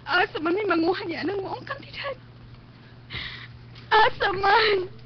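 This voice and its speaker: An actress playing an anxious, devoted daughter in a radio drama. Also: female voice, very high voice, lively intonation, a little slow. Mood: distressed, pleading. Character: anxious, devoted daughter tending her stricken father. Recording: muffled lo-fi stream with faint noise.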